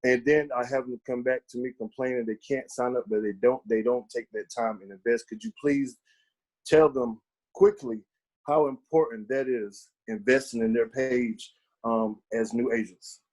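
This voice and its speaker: A man's voice: mid-range voice, steady tone, moderate pace, a little mellow, rough recording. A man leading a casual training session, talking conversationally with lots of fillers. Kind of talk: casual group training talk.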